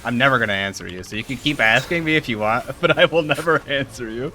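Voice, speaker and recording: A man's voice: mid-range voice, lively intonation, quick pace, sharp tone, a little hiss or hum. A man in his thirties chatting casually as he streams a game, informal and slangy with free swearing. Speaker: streamer playing an online raid game. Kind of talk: casual gaming chat and commentary. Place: small room at home. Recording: game music behind the voice.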